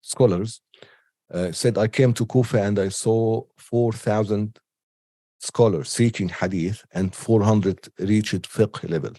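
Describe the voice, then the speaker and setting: A man giving an unscripted online lecture with a cold, halting often with ums and restarts. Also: on the low side, warm tone, dry recording. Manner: hesitant, many ums and restarts. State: has a cold. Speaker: teacher.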